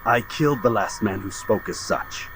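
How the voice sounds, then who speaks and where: An actor playing a defiant, principled assassin in a video game, a betrayed student who speaks tersely and earnestly. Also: male voice, lowish voice, moderate pace, music in the background, lightly acted. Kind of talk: dramatic video game cutscene acting. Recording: noisy game cutscene audio.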